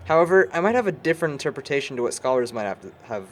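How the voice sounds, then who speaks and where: A man's voice: mid-range voice, very animated, racing, a little hiss or hum, natural voice. A man acting a bookish, dry-witted young man in an amateur audio drama, talking in a formal, wordy way. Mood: reflective. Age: young adult.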